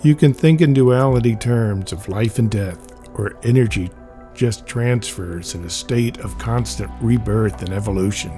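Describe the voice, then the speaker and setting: Mellow-voiced man reading aloud. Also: on the low side, measured pace, faint music behind. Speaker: reader narrating a comic aloud. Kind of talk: scripted narration, reading a memoir-style comic.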